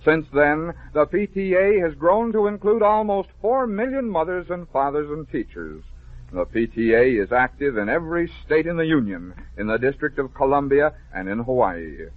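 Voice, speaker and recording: An American man narrating a scripted story for children as a 1950s radio host, phrasing it clearly and dramatically. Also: a little high, animated, normal speed, dry recording. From American Midwest. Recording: dull, noisy vintage 1950s radio recording.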